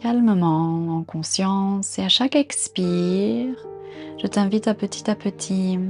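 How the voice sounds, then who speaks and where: A woman guiding a body scan meditation in a calm, gentle, unhurried manner, giving soft instructions with pauses. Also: medium pitch, faint music behind. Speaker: meditation guide.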